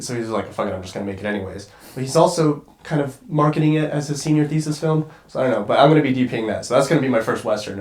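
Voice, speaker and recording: A young man with a congested voice talks casually as a guest, rambling, restarting his sentences and swearing freely. The sound carries a roomy echo around him. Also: medium pitch. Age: young adult. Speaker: guest cinematographer. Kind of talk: casual podcast conversation.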